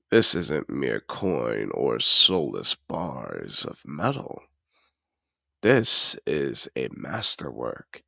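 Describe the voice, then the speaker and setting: A man playing a cultured, possessive dragon disguised as a human, effusive and refined, in a gravelly-voiced audio roleplay addressed to the listener. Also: extremely low pitch, pushed and bright, no room sound, full character voice. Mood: passionate, admiring. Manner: refined, effusive, theatrical phrasing.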